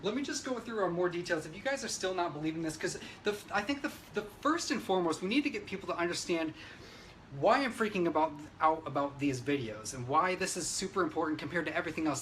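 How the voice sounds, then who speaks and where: High-voiced man on a brash livestream rant. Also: fairly bright tone. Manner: brash, opinionated, frequent swearing; emphatic, agitated delivery. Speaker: livestreamer giving political commentary. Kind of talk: unscripted ranting livestream, reading viewer comments. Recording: noisy livestream audio.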